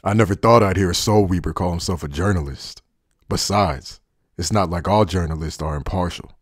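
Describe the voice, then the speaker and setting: A man acting in an anime fan dub as a guarded, cynical former soldier turned traitor, with a low, husky voice and a wry, deliberate delivery. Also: no echo, studio quality. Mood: wry, amused.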